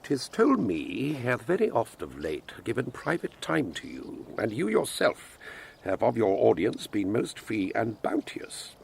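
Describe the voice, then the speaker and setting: An actor playing a pompous, wordy old father in Shakespearean style, lecturing his grown children emphatically in a gravelly, husky voice. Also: medium pitch, wildly swooping pitch, measured pace, a little hiss or hum, heavily acted. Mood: probing, stern. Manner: declamatory, emphatic, sententious. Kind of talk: Shakespearean stage acting.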